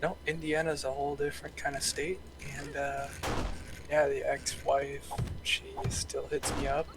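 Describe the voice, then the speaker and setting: A man chatting casually in a deadpan way over voice chat. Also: a little high, unhurried. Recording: clearly noisy voice chat.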